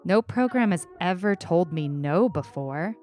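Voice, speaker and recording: A woman's voice: fairly low pitch, expressive, unhurried, dark tone, faint music behind. A woman voicing a young schoolgirl in a story, eager, direct, impatient and a little sheepish. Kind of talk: storybook character reading.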